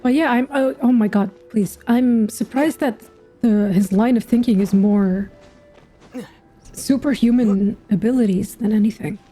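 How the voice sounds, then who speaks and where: A woman's voice: on the high side, measured pace, mellow. A woman giving casual reaction commentary as she plays a video game. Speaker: gaming streamer. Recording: game music behind voice.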